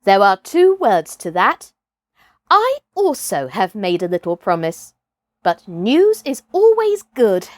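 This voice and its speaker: A woman's voice: mid-range voice, wildly swooping pitch, slow, no room sound, clean studio sound. A woman voicing a proud, thoughtful boy raised by wolves in a storybook, with solemn, archaic phrasing. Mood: eager.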